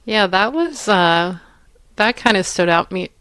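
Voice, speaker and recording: A woman's voice: medium pitch, expressive, measured pace, fairly bright tone, clear, resonant tone, dry recording. A woman co-hosting a podcast in casual chat, agreeable and sprinkling her speech with "like". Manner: agreeable, lots of "like" fillers, short backchannels. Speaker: podcast co-host.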